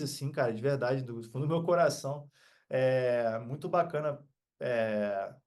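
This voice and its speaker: A man's voice: mid-range voice, a little mellow. A Brazilian man talking as a podcast guest in a casual, heartfelt motivational chat, earnest and full of slang.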